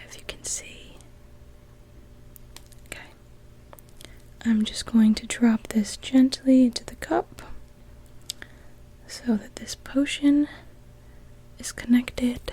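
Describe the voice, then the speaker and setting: A young woman whispering gently and without hurry in an ASMR witch roleplay, speaking directly to the listener. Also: fairly high pitch, slightly rough, low-quality recording. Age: young adult. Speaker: ASMR performer.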